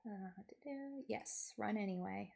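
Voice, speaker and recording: A woman livestreamer chatting casually and telling stories in an animated, giggly, expressive way. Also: mid-range voice, a little slow, vocal fry. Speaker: streamer, VTuber host.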